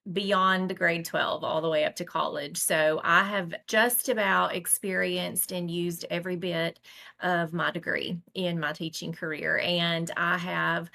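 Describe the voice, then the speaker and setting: A woman in her forties with a Southern American accent from Tennessee, chatting as a guest teacher and telling lively stories. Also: lowish voice, measured pace, vocal fry. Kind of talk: casual conversation.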